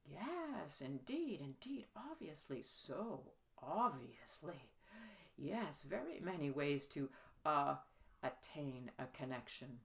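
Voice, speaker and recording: Woman as prim Regency gentleman, flustered, hesitant, fussy murmur, easily shocked, audiobook character. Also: low-pitched, sing-song, slow, creaky, heavily acted. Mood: absorbed, flustered. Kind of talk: literary audiobook character reading.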